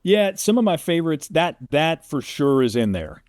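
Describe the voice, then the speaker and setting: A man's voice: a little high, big pitch swings, normal speed, a little mellow, no room sound, studio quality. A man who works as a sports announcer, telling stories informally as an interview guest and often checking in with the listener. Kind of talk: casual interview storytelling.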